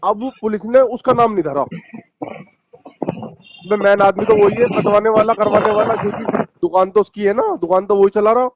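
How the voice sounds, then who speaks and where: A man's voice: high-pitched, steady tone, normal speed. A man on a phone call, pressing insistently and repeating himself. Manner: insistent, repetitive. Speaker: phone caller. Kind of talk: argumentative phone conversation. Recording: dull, noisy phone call with clicks.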